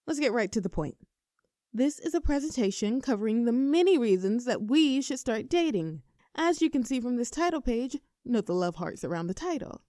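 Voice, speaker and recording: A woman's voice: a little high, mellow, dry recording, studio quality. A woman acting a nervous, earnest best friend in a roleplay audio, addressing the listener like a mock-formal presenter. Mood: earnest, careful. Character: nervous, earnest young female best friend confessing a crush.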